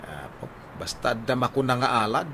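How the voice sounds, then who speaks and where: An actor playing an easygoing, put-upon village husband in an Ilocano radio comedy drama, with an Ilocano accent and a defensive, conversational manner. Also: male voice, medium pitch, animated, moderate pace, close to an everyday voice. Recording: noisy radio drama with ambience.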